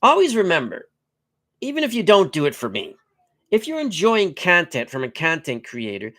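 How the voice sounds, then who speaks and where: A man's voice: high-pitched, wildly swooping pitch, moderate pace, fairly bright tone. A middle-aged man hosting a tabletop baseball live stream, nasal and congested from a cold, chatting and joking while reading out player stats in short clipped bursts. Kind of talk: casual live-stream chat and lineup reading.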